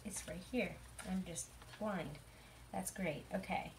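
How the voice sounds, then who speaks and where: A young woman with a hoarse voice giving a chatty, rambling tarot reading, full of fillers. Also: fairly low pitch, slow, background noise. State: hoarse from illness. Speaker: tarot reader. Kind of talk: casual tarot reading monologue.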